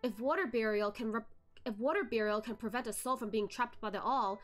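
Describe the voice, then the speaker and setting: A woman reading as a sharp, needling young man. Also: on the high side, fairly quick, soft background music, close to an everyday voice. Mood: reasoning. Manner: probing, pointed questioning. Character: sharp-witted, teasing young male investigator.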